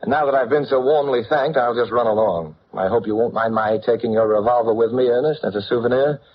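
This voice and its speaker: An actor playing a suave, flippant gentleman detective, dry and unruffled, in 1940s radio drama style. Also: medium pitch, quick pace, slightly rough, close to an everyday voice. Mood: wry, breezy. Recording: muffled, noisy old radio recording.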